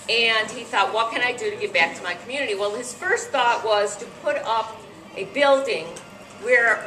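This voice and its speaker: A middle-aged American woman with a loud voice, hosting an event and giving an informal, chatty talk to a gathering of guests. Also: on the high side, a little hiss or hum. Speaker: event host and board director. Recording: echoey room from far off, faint music.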